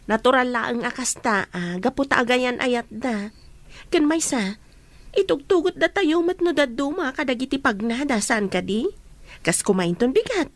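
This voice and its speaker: A woman's voice: sits high, lively intonation, normal speed, no echo. A woman playing a chatty, playful office friend who gossips about a couple, in a radio drama. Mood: gossipy.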